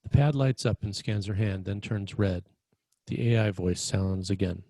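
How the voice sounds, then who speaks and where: A man's voice: fairly low pitch, normal speed, mellow, dry recording. A man reading screenplay stage directions as a narrator at a table read.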